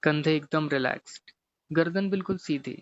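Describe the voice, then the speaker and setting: A man teaching guided meditation, giving gently repetitive, step-by-step instructions. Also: a little high, moderate pace, no echo, dull audio.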